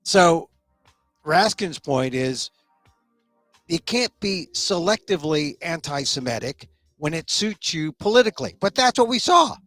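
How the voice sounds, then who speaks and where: A man's voice: fairly high pitch, big pitch swings, unhurried, fairly bright tone, no echo, faint music behind. A middle-aged man hosting a solo political commentary show, opinionated and a little sniffly, often repeating words as he starts a thought. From United States. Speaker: talk show host.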